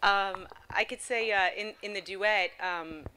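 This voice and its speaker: A woman's voice: medium pitch, unhurried, sharp tone. A woman around thirty from New York, a dancer, answering questions at an outdoor public talk. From Queens, New York, US. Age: 30s. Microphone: handheld microphone. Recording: faint outdoor background noise.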